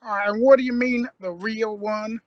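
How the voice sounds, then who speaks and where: A woman's voice: on the high side, steady tone, moderate pace, dry recording, dull audio, lightly acted. A woman playing a brash, impatient young male traveller in an audio drama, blunt and defiant in manner.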